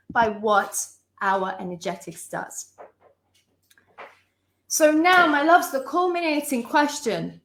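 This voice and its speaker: A young adult woman from Lithuania, a relationship coach giving a live online masterclass, talking with emphatic, impassioned repetition and calling listeners her loves. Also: a little high. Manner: emphatic, impassioned delivery with lists of repeated phrases; addresses listeners warmly as sisters and loves.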